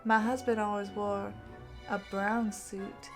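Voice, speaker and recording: A woman's voice: mid-range voice, level tone, slow pace, faint music behind. A woman playing a polite, particular widow in a dramatic story reading.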